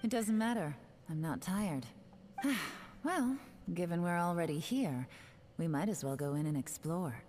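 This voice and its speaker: An actress playing a cool, composed, perceptive woman investigator in a video game, speaking in a measured way. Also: lowish voice, lively intonation, darkish tone, slight background noise.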